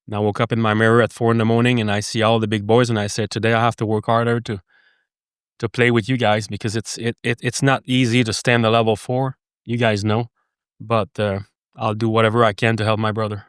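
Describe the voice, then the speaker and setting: A middle-aged French Canadian man, a native French speaker, talking earnestly in a casual interview, with halting speech and frequent restarts. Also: on the low side, flat delivery, clean tone, no echo, clean studio sound. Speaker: podcast guest.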